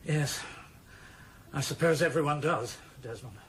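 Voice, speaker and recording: A man's voice: fairly high pitch, measured pace, slight background noise, rough recording. A man playing an anxious, incredulous police chief questioning his officers in a radio drama. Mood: appalled, doubtful. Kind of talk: 1990s radio drama acting.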